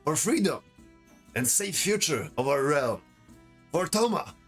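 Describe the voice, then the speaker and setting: A man's voice: on the high side, sing-song, unhurried, faint music behind, exaggerated voice. A man playing a pompous royal herald giving a grand ceremonial speech in a fantasy audio drama. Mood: proud, welcoming. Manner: grand, proclaiming delivery.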